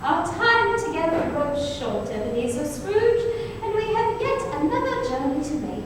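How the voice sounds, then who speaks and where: A woman playing a grave, reproachful spirit of the past in old-time radio style, solemn and measured. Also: very high voice, very animated. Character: grave, reproachful female Ghost of Christmas Past. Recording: distant, echoey hall, faint noise.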